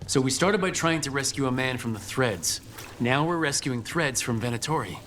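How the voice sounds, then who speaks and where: A man's voice: medium pitch, expressive, moderate pace, fairly bright tone, close to an everyday voice. A man playing a principled companion with a wry yet earnest manner in a fantasy video game. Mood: questioning.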